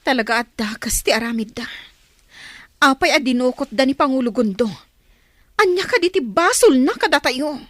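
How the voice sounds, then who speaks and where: A woman's voice: high-pitched, animated, moderate pace, long pauses, a bit bright, no echo. A woman playing an anxious, tender-hearted young daughter in a radio drama, murmuring with worry. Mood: upset. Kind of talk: Filipino radio drama acting.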